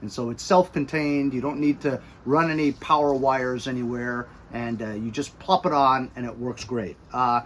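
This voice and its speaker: A middle-aged American man giving a casual, chatty product review with animated emphasis, recorded by a camera a few feet away in a garage. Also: mid-range voice, normal speed, hardly any pauses, a little hiss or hum. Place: cluttered garage or workshop.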